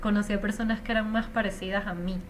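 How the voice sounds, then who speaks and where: Venezuelan woman, 30s, interview guest, chatty and reflective, mid pitch, slight background noise. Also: level tone, quick pace. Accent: Venezuelan Spanish. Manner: chatty, reflective, frequent fillers. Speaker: interview guest, Spanish teacher. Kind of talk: casual conversational interview.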